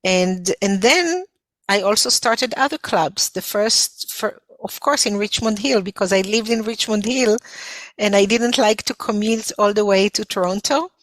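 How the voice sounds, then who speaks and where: A woman's voice: medium pitch, measured pace, fairly bright tone, no room sound, poor audio. Israeli-accented woman, dry close sound. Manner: earnest, reflective storytelling. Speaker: folk dance instructor, guest. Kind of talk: casual interview.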